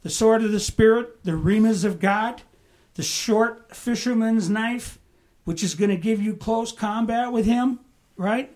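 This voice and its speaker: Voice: mid-range voice, measured pace, a little mellow. A pastor teaching a Bible study in a conversational way, often asking the room "right?" and "amen?", with bursts of emphasis.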